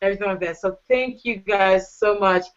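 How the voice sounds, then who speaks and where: A woman's voice: medium pitch, normal speed. Woman host gushing over her guests, playful, kinda muffled lo-fi sound. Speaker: talk show host. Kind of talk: casual online panel show. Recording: dull lo-fi audio.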